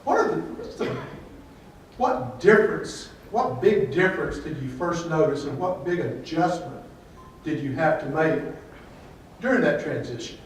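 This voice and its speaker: Brief: older male moderator, fairly high voice, encouraging, roomy distant sound. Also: animated, measured pace. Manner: friendly, prompting. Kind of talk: moderating a panel. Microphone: handheld mic. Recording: echoey room from far off, faint noise.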